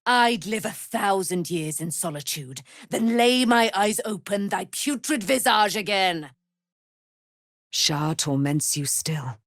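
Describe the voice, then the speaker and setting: A proud noble warrior lady from a fantasy game, super slow grand old-timey speech, kinda low voice. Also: a bit bright, no room sound, studio quality, heavily acted. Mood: bitter, solemn. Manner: theatrical, archaic, declamatory speech. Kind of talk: dramatic fantasy video game voice acting.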